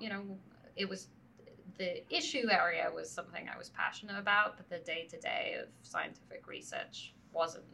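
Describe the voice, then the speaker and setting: Gravelly-voiced woman guest, hesitant. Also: lowish voice, pushed and bright, a little hiss or hum, rough recording. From France. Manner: thoughtful, many hesitations and restarts. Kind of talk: conversational interview. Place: conference venue. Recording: faint background ambience at a summit.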